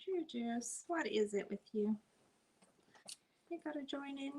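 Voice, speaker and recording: A middle-aged South African woman leading a live painting tutorial, chatting with viewers, laughing often and dropping to soft murmurs as she works. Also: high-pitched, expressive, unhurried, a little hiss or hum. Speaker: art instructor.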